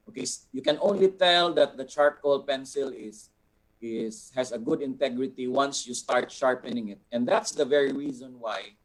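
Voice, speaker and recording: Male art instructor, Filipino accent, medium pitch. Deliberate, explanatory delivery in an informal Q&A, with searching pauses. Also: low-quality recording. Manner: deliberate, explanatory, pausing to find words. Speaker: art instructor answering audience questions. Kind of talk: informal question-and-answer teaching.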